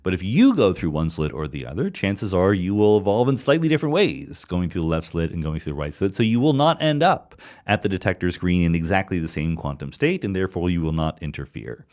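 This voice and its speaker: A man's voice: deep voice, very animated, brisk, hardly any pauses, a little mellow. A middle-aged man hosting a podcast, answering listener questions in a thoughtful solo monologue, explaining step by step with frequent restarts and repeated words.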